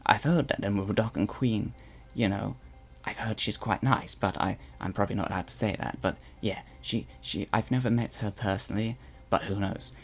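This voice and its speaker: A man's voice: fairly low pitch, fairly even intonation, normal speed, creaky. Man doing a blustery Slig newscaster. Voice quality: weird put-on accent. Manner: blustery, rambling with stammered restarts. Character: male Slig guard turned propaganda newscaster, boastful. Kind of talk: in-character live stream chat roleplay.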